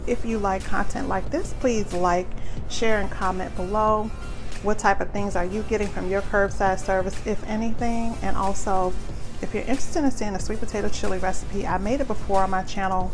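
A woman's voice: mid-range voice, steady tone, normal speed, over music. A middle-aged American woman vlogger talking in a chatty, conversational way with folksy slang, narrating casually in a home kitchen. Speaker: lifestyle vlogger. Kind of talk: casual grocery haul vlog narration. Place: small home kitchen, indoors.

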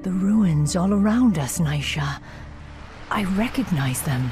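A woman's voice: lowish voice, animated, slow, a little mellow, soft background music. A woman voicing a stern, relentless night elf warden, grave and commanding, in a fantasy game. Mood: grave, pondering. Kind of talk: dramatic fantasy game acting.

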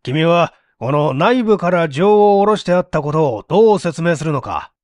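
Guy doing a stern cop grilling a suspect in an audio story, highish voice, pressing. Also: expressive, moderate pace, darkish tone, dry recording, clean studio sound, lightly acted. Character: stern male police interrogator demanding an explanation.

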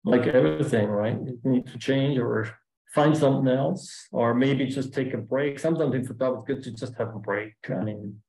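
A man's voice: medium pitch, normal speed, a little mellow. Guest speaker: man, German accent, easygoing and rambling, roomy echo on a video call. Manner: chatty, rambling with restarts. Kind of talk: casual talk answering questions.

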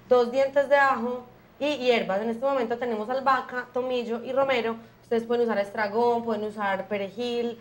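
A young woman hosting a cooking show, explaining each step to viewers in a brisk, friendly way from a small studio kitchen. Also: fairly high pitch. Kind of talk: friendly televised cooking lesson.

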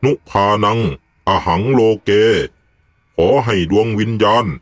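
A man voicing a firm, deliberate older village father who calmly leads spirit rituals in an animated ghost story. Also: fairly low pitch, no echo. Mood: solemn, calm.

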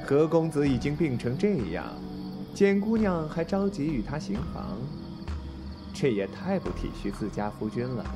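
一名男演员在武侠广播剧中饰演一位从容戏谑、暗藏杀意的反派宫主。. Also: male voice, a little high, big pitch swings, normal speed. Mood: silky teasing. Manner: leisurely, teasing, menacing. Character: suave, menacing martial-arts palace master, amused villain. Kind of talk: Chinese wuxia audio drama acting. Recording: music behind, faint noise.

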